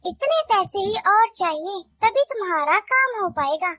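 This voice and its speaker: Woman doing a scheming cartoon bird, very high, coaxing, persuasive, dry close sound. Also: lively intonation, normal speed, fairly bright tone, no echo. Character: scheming sweet-talking female bird, false friend.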